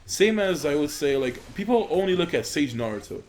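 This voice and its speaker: A man's voice: fairly high pitch, moderate pace, faint music behind. Young man rambling over gameplay. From Poland. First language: Polish. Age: young adult. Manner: rambling, filler-heavy, relaxed. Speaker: gaming YouTuber. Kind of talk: casual gameplay commentary. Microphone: USB desk microphone.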